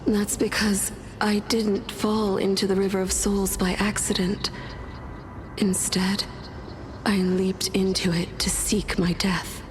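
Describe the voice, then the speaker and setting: Woman acting a slow, weary dead scholar. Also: medium pitch, level tone, background noise, close to an everyday voice. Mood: bitter, weary. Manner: polite, breathy, philosophical, resigned. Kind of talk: dubbed fantasy game dialogue. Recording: orchestral game music behind.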